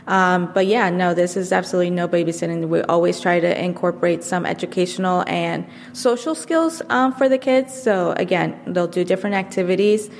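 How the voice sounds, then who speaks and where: A woman in her thirties, an after-school program coordinator, answering interview questions in a measured way with frequent fillers. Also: fairly low pitch. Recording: long hall reverb, faint noise.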